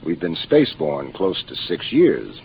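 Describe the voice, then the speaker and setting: A man's voice: low voice, huge pitch swings, unhurried, vocal fry, exaggerated voice. An American man playing a seasoned, matter-of-fact spaceship captain in 1950s radio drama style, commanding as he explains things. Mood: genial. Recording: muffled, noisy 1950s radio broadcast.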